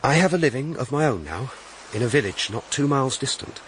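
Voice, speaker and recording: An actor playing a grave, gentle Victorian clergyman in a radio drama, formal, deliberate and reserved as he courts. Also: mid-range voice, expressive, a little hiss or hum, close to an everyday voice. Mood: quietly tender. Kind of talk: period radio drama acting.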